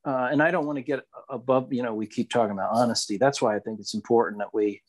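A man's voice: mid-range voice, fairly even intonation, quick pace. A man co-hosting a podcast, talking casually and reflectively, feeling his way through phrases and often repeating words. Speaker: podcast co-host. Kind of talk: reflective casual discussion of spirituality.

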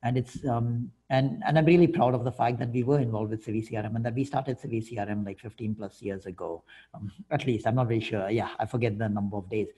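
A man's voice: medium pitch, fairly even intonation, fast talker, dark tone, breathy edge, rough recording. A middle-aged man giving informal remarks on a video call through earphones, hesitant and often checking in with a questioning tag. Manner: hesitant, often ends phrases with "right?". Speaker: guest speaker, open source project founder. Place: indoor room, video call. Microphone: wired earphones on a video call.

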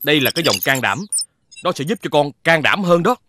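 A man voicing a kindly, reassuring dog father in a children's cartoon, speaking gently. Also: a little high, lively intonation, a little fast, noisy background, close to an everyday voice. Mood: concerned, reassuring. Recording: music behind the voice.

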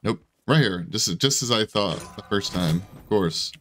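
A man with a hoarse, scratchy voice giving casual, rambling commentary over his own gameplay, full of fillers and restarts. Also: fairly low pitch, expressive, unhurried, a bit bright, faint music behind. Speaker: gaming video creator.

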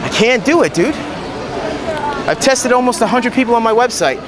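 Casting: male demonstrator, high voice, pushy repeated instructions, recorded amid busy convention crowd. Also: lively intonation, unhurried, heavy background noise. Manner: insistent, repeats short commands. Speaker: product demonstrator at a convention booth. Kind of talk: informal hands-on product demonstration. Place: convention booth in large indoor hall. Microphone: camera a few metres away.

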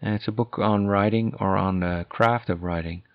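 A middle-aged Irish man with a softened accent hosting a casual solo podcast, talking in a hesitant, rambling, self-correcting way. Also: on the low side, steady tone, moderate pace, no room sound. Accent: Irish, softened by years abroad. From Ireland. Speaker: podcast host and author. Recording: dull, lo-fi, close dry sound.

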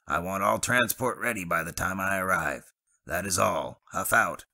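A man's voice: low voice, normal speed, creaky, sharp tone, voice pushed up, exaggerated voice. Man doing a clipped, commanding captain. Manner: clipped, authoritative. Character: commanding military male captain.

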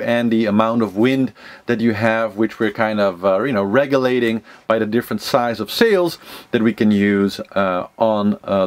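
A man in his thirties hosting an informal how-to vlog, thinking aloud in a hesitant, rambling way as he explains things to camera. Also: medium pitch. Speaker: sailing instructor and series host. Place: small wood-lined sailboat cabin, indoors.

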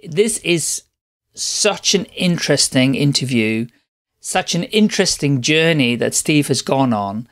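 A man's voice: fairly high pitch, animated, fairly bright tone. A man hosting a podcast, welcoming his guest and asking questions in an upbeat, encouraging way. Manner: upbeat, encouraging, quick acknowledgements. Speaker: podcast host. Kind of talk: podcast interview.

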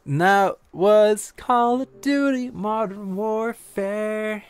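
A young man streaming a game, reacting in a loud, crude, joking way into a studio mic at home. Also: high voice, very slow, clean tone, dry recording. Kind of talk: casual reaction commentary. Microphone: studio condenser on arm. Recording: game music in background.

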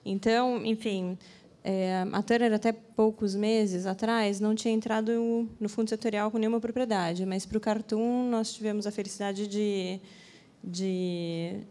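A woman in her thirties with a Brazilian Portuguese accent, a channel executive speaking in a steady, businesslike way on an auditorium stage with a handheld mic. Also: mid-range voice, measured pace. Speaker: cartoon channel executive on a panel. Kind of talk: conversational talk to an audience.

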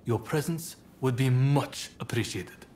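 A man playing a composed, courteous samurai rebel leader in a video game, with formal, measured phrasing. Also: mid-range voice, darkish tone, slight background noise, natural voice. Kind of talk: dramatic video game voice acting.

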